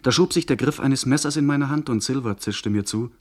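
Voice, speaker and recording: An actor in a radio play narrating as a young gentleman adventurer in a measured, literary way. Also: medium pitch, close to an everyday voice. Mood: measured, reflective. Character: young gentleman adventurer recounting his own tale.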